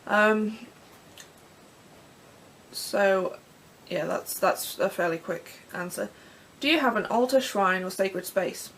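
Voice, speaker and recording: A young Englishwoman with a croaky throat, rambling casually through vlog questions from her bedroom with plenty of ums and restarts. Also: medium pitch, takes it slowly, a bit bright, a little hiss or hum, rough recording. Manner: chatty, self-deprecating, many ums and restarts. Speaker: pagan vlogger. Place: bedroom in front of her altar.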